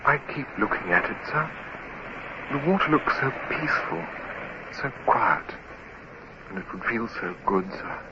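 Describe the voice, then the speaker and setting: An actor playing a frightened, despairing young naval midshipman in a radio drama, speaking hesitantly in a hushed, trembling voice. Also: medium pitch, expressive, unhurried, long pauses, natural voice. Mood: despairing, haunted. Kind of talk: 1950s radio drama acting. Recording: muffled, hissy old radio recording.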